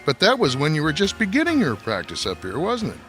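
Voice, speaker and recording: A smug gossip writer in a radio mystery drama. He is theatrical and sarcastic, with pitch that swoops in a very lively way as he needles people. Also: brisk, faint music behind, heavily acted. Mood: cruel, sardonic. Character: smug, sneering male gossip author taunting old acquaintances.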